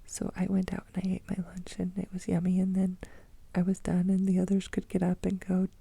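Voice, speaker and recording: A woman's voice: fairly low pitch, monotone, fairly quick, vocal fry, dark tone, husky, no room sound. A woman in her thirties from the United States, whispering an ASMR story about her kids in a rambling, self-amused way. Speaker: mother telling a story.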